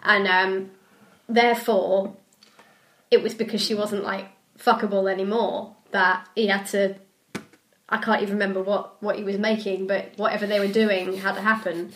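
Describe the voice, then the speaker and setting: A woman's voice: medium pitch, flat delivery, moderate pace, fairly bright tone. A woman in casual banter as a co-host, blunt, dry and teasing. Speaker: podcast co-host. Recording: echoey room, audible background noise.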